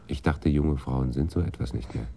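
An actor playing a dry, laconic police detective in a German radio crime drama, asking probing questions. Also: male voice, rumbling low, moderate pace, creaky, warm tone. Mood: musing.

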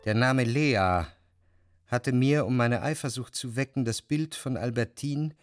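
Male actor as brooding jealous narrator, introspective, measured, literary radio play, dry sound, faint music. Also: mid-range voice, clear, resonant tone, dry recording, lightly acted. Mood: brooding, reflective. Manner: measured, reflective delivery in long sentences.

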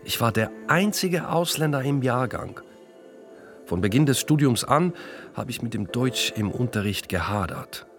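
A man's voice: mid-range voice, animated, unhurried, soft background music. A man, a Spanish and Hebrew speaker, narrating a scripted autobiographical radio piece. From Israel. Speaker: author and narrator.

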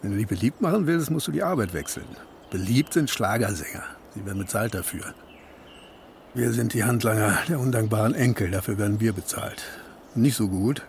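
An actor playing a stern, lecturing party secretary in a radio play, speaking in biting, declamatory slogans. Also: male voice, lowish voice, a little fast, gravelly, a little hiss or hum. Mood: scornful. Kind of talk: stylised radio play dialogue.

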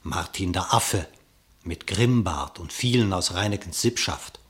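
A man serves as narrator of a radio play in verse. His lowish voice tells the story in a measured, dramatic way, shaping each line as scripted literary narration.

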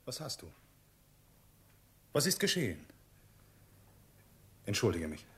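An actor playing a devoted young fiancé in a German radio play, husky, anxious and earnest. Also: male voice, fairly low pitch, very animated, slow, a bit bright, heavily acted. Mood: concerned.

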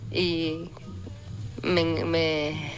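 A woman with an Argentine accent telling a personal anecdote in a reflective, halting way, as in a voice message. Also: deep voice, flat delivery, music in the background, rough recording.

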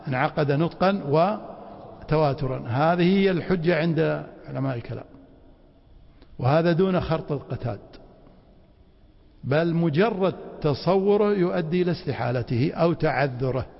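A man teaching a class on Islamic law in a mosque, quizzing students with quick rhetorical prompts. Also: fairly high pitch, gravelly. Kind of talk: classroom lecture with questions to students. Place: mosque study circle. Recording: dull lo-fi recording in a reverberant hall.

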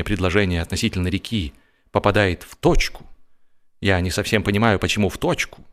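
A man narrating a humorous story expressively, in an ironic, mock-serious manner. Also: lowish voice.

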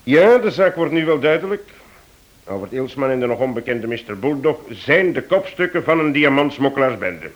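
An actor playing a composed investigator, orderly and explanatory, in a radio detective play. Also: male voice, medium pitch, big pitch swings, moderate pace, natural voice. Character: composed male investigator laying out a case. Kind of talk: radio detective drama acting. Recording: dull, muffled old radio recording.

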